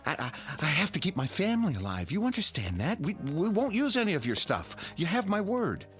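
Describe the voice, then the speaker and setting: A man's voice: high voice, huge pitch swings, fairly quick, a bit bright, soft background music, heavily acted. An actor playing a desperate suburban father in a radio drama, pleading and stammering.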